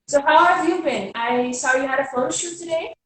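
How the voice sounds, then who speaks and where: A woman's voice: high voice, fairly quick, a little hiss or hum. A woman in her twenties with a Dutch accent, a friendly guest on a video call who laughs easily. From Netherlands. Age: 20s. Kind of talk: casual video call chat. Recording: echoey far-off video call.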